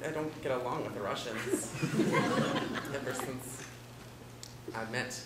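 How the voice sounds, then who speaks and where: A man's voice: mid-range voice, measured pace. A young man rambling through an absurd improvised story, stifling laughs as he goes. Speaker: improv player. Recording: echoey room with loud noise.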